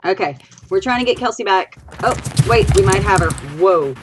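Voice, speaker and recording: A woman's voice: medium pitch, lively intonation, normal speed, noisy background. A woman from Louisiana with a Southern accent, co-hosting a casual livestream, rambling chattily and a bit tipsy. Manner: chatty, rambling, giggly asides. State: tipsy from drinking. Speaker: podcast co-host.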